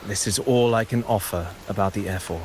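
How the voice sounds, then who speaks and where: An actor playing a humble male storyteller poet in a video game, speaking in a measured, poetic, declamatory way. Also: fairly low pitch, a little hiss or hum, close to an everyday voice, rough recording. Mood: solemn. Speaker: game character. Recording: game audio with loud rain. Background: steady rain.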